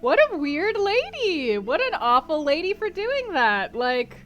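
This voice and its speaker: A woman's voice: high-pitched, sing-song, measured pace, fairly bright tone, highs cut off. A woman hosting a live-streamed book club, chatting casually with her viewers in a rambling way and laughing often. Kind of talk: casual chat with live chat before a stream reading.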